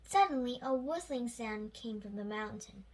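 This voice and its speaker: A child reading a storybook aloud in a halting way, correcting slips along the way. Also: mid-range voice, expressive.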